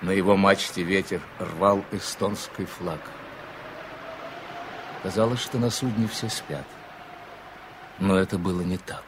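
A middle-aged man narrates in a slow, deliberate, solemn way, pacing each phrase. His voice sits a little low, with a faint hiss beneath it. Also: fairly even intonation. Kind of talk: scripted radio drama narration.

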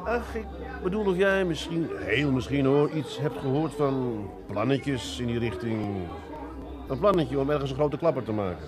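An actor playing a shrewd, teasing police detective with a Dutch accent, putting needling questions with feigned innocence in a crime drama. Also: male voice, medium pitch, lively intonation, normal speed, over music, crowd noise, lightly acted. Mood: sly, insistent. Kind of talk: television crime drama acting.

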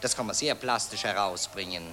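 A man's voice: lowish voice, fairly quick, sharp tone. An actor playing a pompous, glib male journalist in a radio play. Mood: smug. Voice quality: pompous, declamatory. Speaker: newspaper reporter. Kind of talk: radio drama acting.

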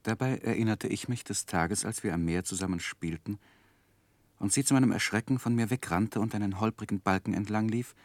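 An actor playing an earnest young gentleman in a 1960s radio play, speaking and narrating in a measured, polite way. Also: lowish voice, no echo, natural voice. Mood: reflective. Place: dry radio studio.

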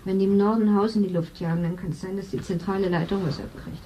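A woman's voice: mid-range voice, steady tone, quick pace, highs cut off, background noise, natural voice. A woman playing a matter-of-fact, tired housewife in a 1970s radio play.

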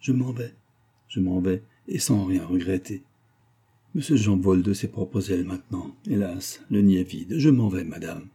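A man's voice: low voice, normal speed, mellow, slightly rough. A man voicing a pious, dignified old woman servant who speaks in solemn, firm reproach in a storybook reading. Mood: resigned. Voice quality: grave voice. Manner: solemn, plain-spoken, firm.

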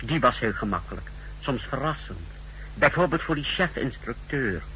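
A man's voice: medium pitch, very animated, normal speed, pushed and bright. An actor playing a formal, precise secret service technician reporting to his superior in a 1960s radio drama. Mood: clinical, formal. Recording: noisy, humming 1960s radio recording.

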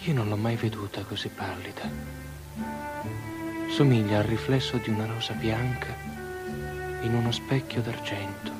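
Man acting a lovestruck young soldier, lowish voice, lyrical and entranced, radio play, loud music behind. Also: fairly even intonation, moderate pace, long pauses, natural voice. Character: lovestruck young Syrian officer, entranced by a princess. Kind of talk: poetic stage-style radio drama acting.

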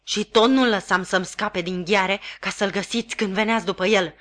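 A woman's voice: mid-range voice, steady tone, a bit bright, lightly acted. A woman voicing a furious escaped male convict who speaks with scorn and savage vehemence in a storybook reading. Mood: vehement scorn.

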